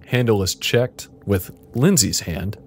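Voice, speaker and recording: A man casually narrating an interactive fiction game as its host, in a deadpan, playful way. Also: lowish voice, slow, slightly rough.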